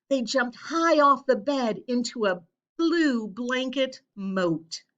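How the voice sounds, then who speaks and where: A woman reads a picture book aloud for children. Her mid-pitched voice moves slowly and expressively, with deliberate pauses for emphasis. The recording is a little dull and lo-fi. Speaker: storybook reader. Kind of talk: children's read-aloud, scripted narration.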